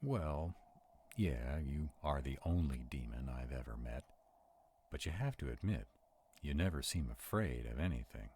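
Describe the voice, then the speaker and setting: A man's voice: very deep voice, animated, moderate pace, darkish tone, slight background noise. A man playing a sarcastic, protective vampire in a conversational audio roleplay. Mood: uneasy, wry. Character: sarcastic male vampire, protective of a young demon companion.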